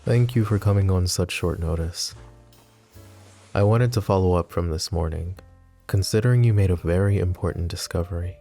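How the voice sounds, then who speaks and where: A man acting a composed, wry, protective mafia boss in an intimate roleplay audio drama, speaking in a measured, conversational way. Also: low voice, mellow, faint music behind. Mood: composed, businesslike. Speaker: voice actor.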